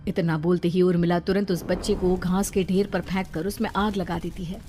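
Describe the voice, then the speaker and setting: Female narrator, lowish voice, scripted horror storytelling, faint background music. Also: little pitch movement, fairly quick, warm tone.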